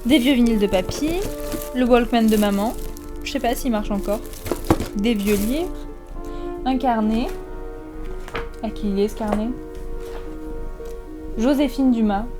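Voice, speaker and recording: Actress doing curious young granddaughter in a fiction podcast, highish voice, chatty to herself, music under it. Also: female voice, a little slow, pauses often, close to an everyday voice. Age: young adult. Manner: casual, musing aloud. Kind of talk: audio fiction acting.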